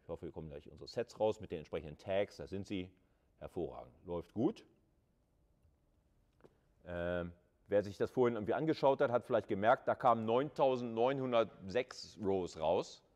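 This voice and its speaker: A male university lecturer with a fairly low voice. He explains in a colloquial, conversational style, thinking aloud as he goes and turning to students with questions. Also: lively intonation, moderate pace, darkish tone.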